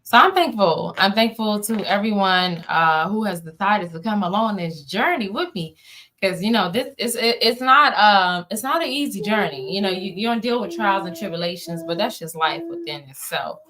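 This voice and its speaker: A woman's voice: medium pitch, expressive, moderate pace, fairly bright tone. An American woman talking casually as an interview guest, informal and emphatic, repeating words for emphasis. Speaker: interview guest, playwright and producer.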